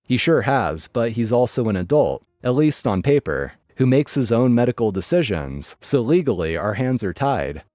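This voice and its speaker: A man narrating online stories in a lively, dry storytelling manner. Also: medium pitch, moderate pace, hardly any pauses, dry recording.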